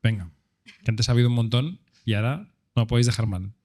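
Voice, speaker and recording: A man moderating a live panel, lively and joking as he prompts the audience. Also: mid-range voice, fast talker. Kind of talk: live event hosting.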